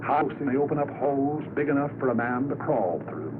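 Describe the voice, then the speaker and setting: An American man narrates a 1940s wartime documentary in a solemn, literary manner. His pitch moves expressively through each measured phrase. The sound is a thin, narrow-band vintage film track with plenty of noise behind it. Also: animated.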